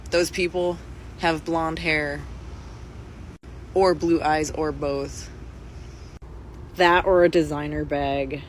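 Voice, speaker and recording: A man who works for tips, speaking wryly and quietly in a social media video. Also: fairly high pitch, little pitch movement, slow, long pauses, a little hiss or hum, poor audio. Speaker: service worker.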